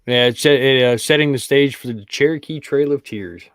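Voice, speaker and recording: A man's voice: mid-range voice, a little fast, a bit bright, clear, resonant tone, no room sound. A man co-hosting a podcast in casual panel chat. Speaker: podcast co-host.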